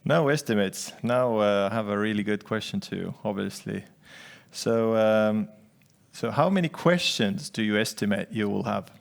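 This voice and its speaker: A man hosting a conference who chats casually with the speaker, joking and halting with many fillers. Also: on the low side, gravelly. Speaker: conference host. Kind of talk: casual onstage chat.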